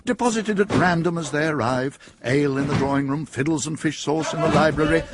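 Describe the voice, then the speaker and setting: A man's voice: fairly high pitch, fairly quick, background noise. A man narrating a radio drama in a dry, wry storytelling manner.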